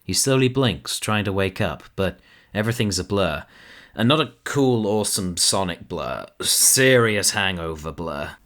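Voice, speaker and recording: A man with a deep voice narrates an audio drama. He reads scripted scene descriptions as the storyteller. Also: expressive, measured pace, fairly bright tone.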